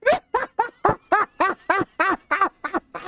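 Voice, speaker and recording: A woman game streamer reacting casually out loud in an exclamatory, expressive way. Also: very high-pitched, extremely slow, dry recording.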